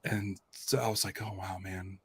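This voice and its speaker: A man's voice: low voice, little pitch movement, moderate pace, husky. A man in a casual fan podcast chat, enthusiastic and thinking aloud in rambling fragments. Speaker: podcast host.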